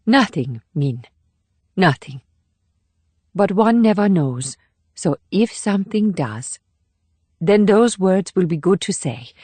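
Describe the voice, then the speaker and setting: A woman plays a young female operative in a thriller audiobook, gentle yet guarded and burdened. The voice is measured and a little low, recorded very dry. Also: animated, husky, no room sound, heavily acted. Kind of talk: scripted audiobook dialogue.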